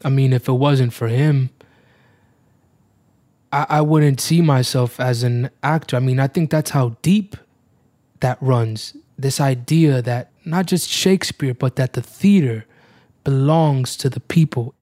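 A man's voice: mid-range voice, measured pace, dark tone. A young man from New York, an actor talking reflectively in an interview. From Washington Heights, New York. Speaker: stage actor.

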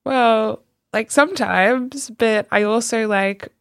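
A woman's voice: on the high side, slow pace, no room sound, clean studio sound. A woman, a yoga teacher and business owner, chatting casually and thoughtfully. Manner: chatty, thoughtful, trails off mid-sentence. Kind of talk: casual conversation.

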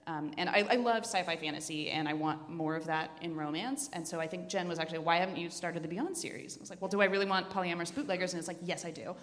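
A woman's voice: on the low side, steady tone, quick pace, few pauses. A woman chatting casually as a podcast host recommending books, enthusiastic and a little wry. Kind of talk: casual chat. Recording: reverberant hall.

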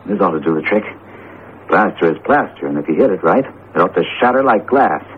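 An actor in a radio drama playing an earnest, determined teacher with deliberate, theatrical phrasing. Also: male voice, low-pitched, lively intonation. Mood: grimly determined. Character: jumpy, determined male teacher confronting a cursed statue. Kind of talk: vintage radio drama acting. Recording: tinny, narrowband old radio broadcast, noisy.

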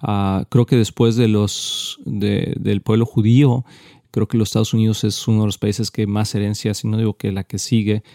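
Playful Mexican man, mellow dry voice. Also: fairly low pitch, level tone, normal speed, dry recording. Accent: Mexican Spanish. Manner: playful, laughs often, restarts mid-phrase. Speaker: co-host.

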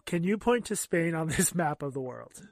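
A man co-hosting a podcast in casual chat, wry and quick to laugh. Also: fairly high pitch, lively intonation, creaky, no echo, clean studio sound. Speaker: podcast co-host.

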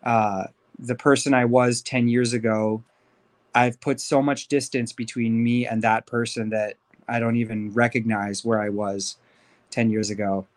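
A man's voice: mid-range voice, flat delivery, unhurried. A thirty-year-old man with an American accent, telling his own story candidly and informally as a podcast guest. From Los Angeles, United States. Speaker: podcast guest, life coach. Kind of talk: conversational personal life story.

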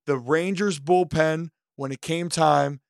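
A man with a fairly high, slightly hoarse voice talks through baseball analysis in animated bursts. The sound is dry and close, with no room around it. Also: slow, dry recording. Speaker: co-host. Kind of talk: sports podcast analysis.

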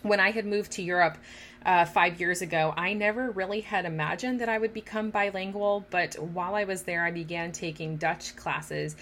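A woman's voice: on the low side, fairly even intonation, normal speed, fairly bright tone, a little hiss or hum. A woman in her thirties hosting a casual podcast, talking straight to listening mothers in an encouraging way. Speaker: podcast host.

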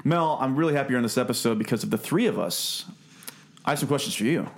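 A man hosting a casual podcast review, talking haltingly and repeating words as he thinks. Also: mid-range voice, animated, vocal fry. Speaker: podcast host. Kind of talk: casual film review discussion.

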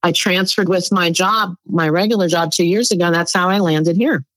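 A woman's voice: lowish voice, normal speed, no echo, rough recording. A middle-aged woman from New York City whose first language is Spanish, telling stories as a guest in a casual interview. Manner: chatty storyteller, lively laughs. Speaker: guest psychic.

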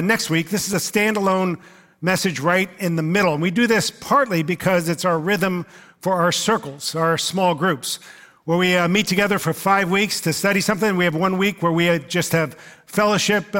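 A man's voice: sits high, sharp tone. A male pastor, who says he is losing his voice, gives a casual, conversational sermon with many fillers.

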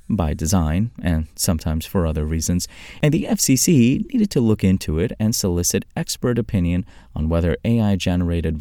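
A man narrating a scripted tech news article as a podcast host, in a clearly articulated, measured read-aloud delivery. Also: low voice, dark tone, no echo, studio quality.

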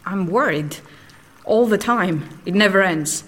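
Woman acting a deadpan, blunt female prisoner. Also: medium pitch, unhurried, natural voice. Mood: weary, worried. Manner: deadpan, curt, unsparing. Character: blunt, anxious female prisoner who detects every lie. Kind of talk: audio drama acting.

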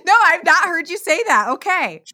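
A woman hosting a casual interview, asking questions in a bubbly, expressive way. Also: very high-pitched, normal speed, no echo, clean studio sound. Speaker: podcast host.

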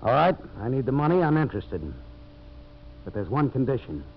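A man's voice: mid-range voice, very animated, a little fast. An actor playing a shrewd, hard-nosed timberman in a 1950s radio drama, terse and suspicious. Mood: guarded, terse. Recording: muffled, hissy old radio recording with hum.

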